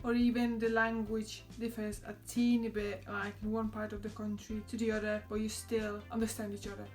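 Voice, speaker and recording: A young woman from Poland with a Polish accent, chatting to camera in a relaxed vlog and laughing often. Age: young adult. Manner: chatty, laughs often, quotes others in a higher voice. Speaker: vlogger. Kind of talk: casual chat to camera.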